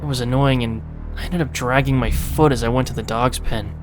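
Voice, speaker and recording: A man's voice: mid-range voice, fairly quick, natural voice. Man voicing a doomed horror diary keeper, eerie music. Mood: weary, uneasy. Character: male animal keeper diarist, sickening into a feral zombie. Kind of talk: dramatic reading of a horror game diary.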